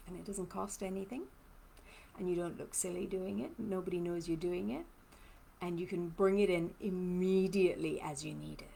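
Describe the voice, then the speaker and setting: A woman's voice: fairly low pitch, steady tone, moderate pace, vocal fry, mellow, slight background noise. A woman from Trinidad with a mixed, hard-to-place accent, a lymphoedema therapist giving a chatty live-stream talk and answering viewer comments. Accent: mixed, hard-to-place blend. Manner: chatty, personal, laughs often.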